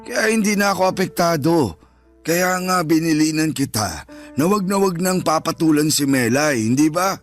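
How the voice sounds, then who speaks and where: A man's voice: on the high side, lively intonation, moderate pace, lightly acted. Man acting a stern, long-suffering father. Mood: resigned. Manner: admonishing, resigned. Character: stern, patient, long-suffering father, blind in one eye. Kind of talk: radio drama acting. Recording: music underneath.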